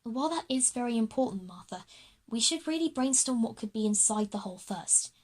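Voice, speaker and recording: Woman as Elizabeth, practical high-voiced schoolgirl leader. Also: expressive, a little fast, darkish tone, slightly rough. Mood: businesslike. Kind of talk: children's audio drama acting.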